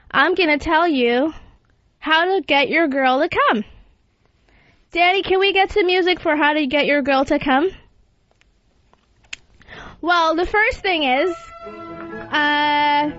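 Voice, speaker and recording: A woman's voice: high-pitched, a little slow, long pauses, fairly bright tone, dry recording. A woman hosting a talk show in a casual, frank and unembarrassed way. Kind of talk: casual radio chat. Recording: dull lo-fi audio, faint music.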